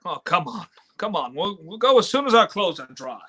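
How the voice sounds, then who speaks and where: A man's voice: high-pitched, very animated, a little fast, sharp tone, breathy edge, exaggerated voice. A man playing a despairing, folksy everyman who stammers, in a live reading of an old-time radio play. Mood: bewildered. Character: despairing, stammering everyman wishing he was never born.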